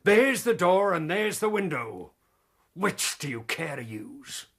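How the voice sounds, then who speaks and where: A man's voice: sits high, sing-song, slow, fairly bright tone, exaggerated voice. An actor playing a blunt, defiant, protective middle-aged frontier father in a radio drama.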